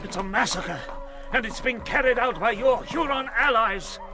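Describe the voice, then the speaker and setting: An actor playing an anguished, indignant army colonel in a radio drama, urgent, formal and imploring. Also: male voice, extremely high pitch, expressive, moderate pace, over music. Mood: indignant pleading.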